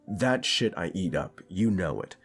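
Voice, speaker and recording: Male channel host, deep voice, a little stuffed up, informal and earnest listener address over music. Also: animated, unhurried. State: slight sinus congestion. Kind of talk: casual address to listeners, thanking supporters.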